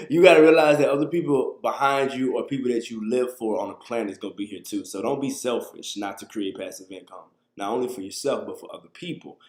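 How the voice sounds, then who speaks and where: A man's voice: mid-range voice, fairly quick, darkish tone, roomy sound. A man in his thirties hosting a casual livestream, talking loosely and answering viewer questions from a small room on a webcam. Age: 30s. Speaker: livestream host. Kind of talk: casual live talk to viewers.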